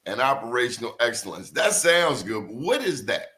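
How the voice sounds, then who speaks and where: A man's voice: mid-range voice, big pitch swings, normal speed, sharp tone. A man hosting a talk show in a playful, bantering, streetwise style. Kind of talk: lively, bantering interview talk.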